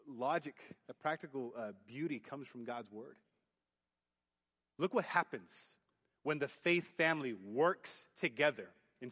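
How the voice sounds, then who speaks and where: A youth pastor preaching a sermon in church, booming then dropping to quiet emphasis. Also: male voice. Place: church sanctuary. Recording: long hall reverb. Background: hall reverb.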